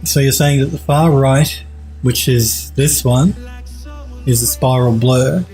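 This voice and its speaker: A man's voice: mid-range voice, slow, a little mellow, soft background music. A man in his forties with a head cold that makes him sound a bit nasal, giving an enthusiastic casual critique of 3D art on a live stream at home. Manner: enthusiastic, repeats praise, restarts. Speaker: 3D art streamer reviewing viewer work. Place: home streaming room, indoors.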